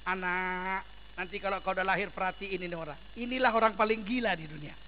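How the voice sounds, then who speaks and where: A man voicing a scornful unbelieving village father who warns his child in a hushed tone. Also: high voice, fairly even intonation, quick pace, lo-fi sound, slight background noise, pitched below the performer's own voice, close to an everyday voice. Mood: warning.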